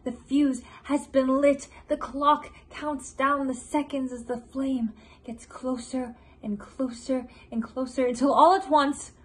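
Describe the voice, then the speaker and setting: A woman's voice: high-pitched, fairly even intonation, slow pace. A teenage woman playing an anxious, restless male musical writer nearing thirty in a confiding, dramatic stage monologue. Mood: tense, self-mocking. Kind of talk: theatrical audition monologue.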